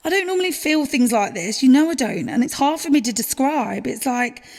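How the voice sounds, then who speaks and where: A woman's voice: high voice, lively intonation, brisk, darkish tone. A woman playing a wounded, pleading and defensive girlfriend clinging to her relationship in an audio drama. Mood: pleading, hurt. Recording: long reverberant hall sound.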